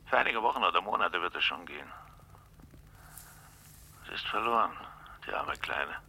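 A man's voice: low voice, expressive, normal speed, bright, forceful tone, a little hiss or hum, heavily acted, rough recording. An actor playing a practical, resigned older uncle, matter-of-fact in his grief, in a German radio crime drama.

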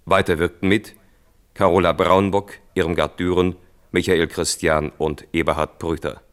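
A man reading formal closing credits as a radio announcer, measured and clearly scripted. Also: deep voice, flat delivery.

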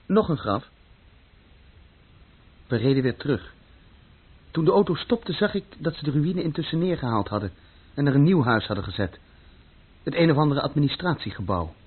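A man's voice: fairly high pitch, animated, normal speed, pauses often, breathy edge. An actor playing a troubled, plain-spoken young German man who recounts his past in short matter-of-fact sentences in a radio play. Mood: subdued, uneasy. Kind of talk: radio play first-person narration. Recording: dull lo-fi old radio play recording.

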